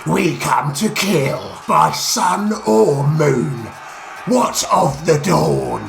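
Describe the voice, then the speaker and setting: A man voicing a band of brutish male orc warriors who shout and mock. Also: on the high side, lively intonation, very slow, creaky, reverberant, a little hiss or hum, full character voice. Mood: taunting. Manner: loud mocking shouts.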